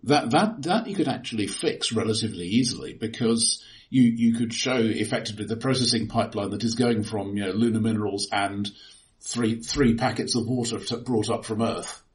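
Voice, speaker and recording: Low-voiced man, halting and analytical. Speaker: podcast co-host. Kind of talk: casual film discussion.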